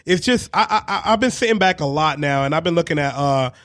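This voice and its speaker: A man hosting a radio show in casual, slangy banter, animated and often repeating himself. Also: fairly high pitch, fast, dry recording, clean studio sound. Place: radio studio.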